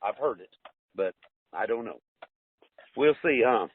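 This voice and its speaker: A man's voice: mid-range voice, lively intonation, unhurried, husky. A man from the United States calling in, chatting casually and a little hesitantly over the telephone. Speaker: caller. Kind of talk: casual phone chat. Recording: narrowband tinny phone line.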